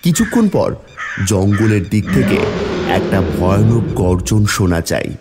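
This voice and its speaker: A man narrating a scripted fairy tale as a storyteller for an animated video. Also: fairly low pitch, a little slow, over loud music.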